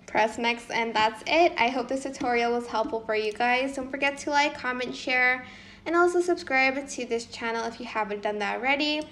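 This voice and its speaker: High-voiced woman giving a casual tutorial. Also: normal speed, fairly bright tone. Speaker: tutorial presenter. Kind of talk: casual how-to tutorial narration.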